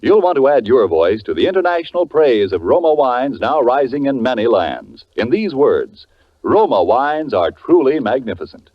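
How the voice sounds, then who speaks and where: A man's voice: mid-range voice, sing-song, normal speed. A man reading a 1940s radio advertisement as a polished announcer, with emphatic salesmanship. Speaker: commercial announcer. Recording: muffled 1940s radio recording.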